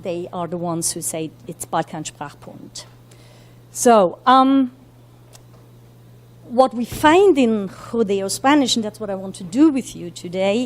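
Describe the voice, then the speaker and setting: Fast-talking woman lecturing with many fillers. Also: on the high side. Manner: talks quickly with many fillers and self-corrections. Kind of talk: academic lecture. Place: university lecture room.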